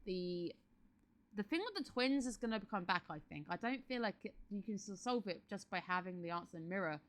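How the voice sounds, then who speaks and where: A woman with a stuffy cold voice, chatting casually as she reviews an anime episode and thinks out loud. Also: medium pitch, expressive, brisk. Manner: chatty, thinking aloud with restarts. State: congested from a cold.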